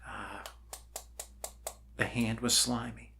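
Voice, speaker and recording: A man performs an ASMR roleplay as an unconventional, awkward doctor. He talks softly at a middling pitch, in a rambling, hesitant way with frequent apology and reassurance. Faint background noise sits behind the voice. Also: little pitch movement, slow, gravelly. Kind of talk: ASMR roleplay, improvised soft talk.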